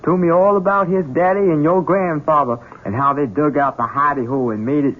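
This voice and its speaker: A man's voice: a little high, steady tone, fairly quick, close to an everyday voice. A man playing an obedient rural Southern servant in a radio drama, rustic and plainspoken, with a Southern accent. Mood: folksy, obliging. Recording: muffled noisy old radio broadcast.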